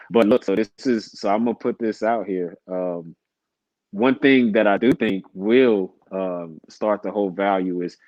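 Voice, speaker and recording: Low-voiced man, folksy, slightly dull audio. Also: a little mellow, no echo. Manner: folksy, colloquial, repeats phrases as he goes. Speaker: guest on a livestream chat. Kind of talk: casual chat.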